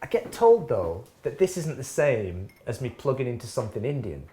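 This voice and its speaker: Lively, musing man hosting. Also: medium pitch, normal speed, a little hiss or hum. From England. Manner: musing, questioning, breaks into laughs. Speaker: host. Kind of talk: scripted reflective talk to camera. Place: home kitchen.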